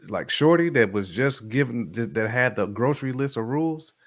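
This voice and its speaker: A man's voice: medium pitch, animated, normal speed. A man chatting casually on a podcast, giving opinions in informal, slangy, emphatic phrases. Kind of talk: casual chat, opinionated commentary.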